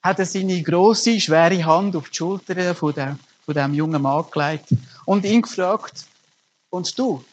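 A man's voice: high-pitched, measured pace, dull audio. A middle-aged man, preaching a sermon as a pastor and telling stories earnestly with emphatic rises. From Germany.

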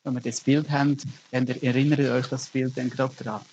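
A man's voice: medium pitch, flat delivery, moderate pace, darkish tone, dull audio, slight background noise. A middle-aged man, preaching a sermon as a pastor and telling stories earnestly with emphatic rises. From Germany.

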